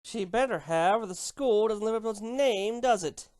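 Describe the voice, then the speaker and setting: A woman's voice: medium pitch, moderate pace, darkish tone, no room sound, studio quality, close to an everyday voice. A woman playing a grumpy, menacing winged unicorn empress in a dramatic cartoon story reading, grumbling, dismissive and sardonic.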